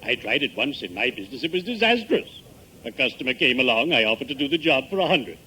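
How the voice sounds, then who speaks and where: Courtly undertaker, bright voice, vintage radio. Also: male voice, mid-range voice, sing-song, fast talker. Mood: solemn, polite. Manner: pompous, genteel, mock-solemn diction. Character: courtly, morbidly genial middle-aged undertaker. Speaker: radio comedy actor. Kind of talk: old-time radio sitcom acting. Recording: noisy vintage 1950s radio broadcast.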